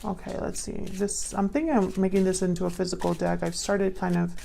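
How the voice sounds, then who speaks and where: A woman giving a casual intuitive tarot reading to viewers, musing and pausing as she thinks aloud. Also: fairly low pitch, flat delivery, creaky, dark tone, slight background noise. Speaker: tarot reader.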